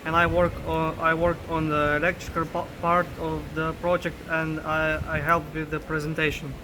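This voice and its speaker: Slovenian man, hesitant presenter, flat and fairly high, loud noise behind. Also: normal speed. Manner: hesitant, restarts often. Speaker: student team member presenting a project. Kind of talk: casual project presentation.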